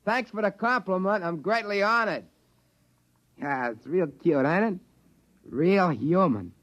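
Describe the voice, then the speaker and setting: An actor playing an easygoing, folksy assistant manager of a trading post, with drawling, ungrammatical speech, in 1950s radio drama style. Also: male voice, sits high, lively intonation, measured pace, a bit bright. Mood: pleased. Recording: dull old radio recording.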